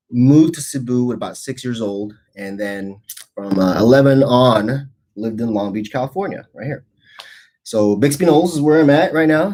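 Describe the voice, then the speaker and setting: A man's voice: mid-range voice, a little mellow. A man in his forties, born in the Philippines, hosting a casual livestream show in a rambling, chatty way with lots of fillers and restarts. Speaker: host.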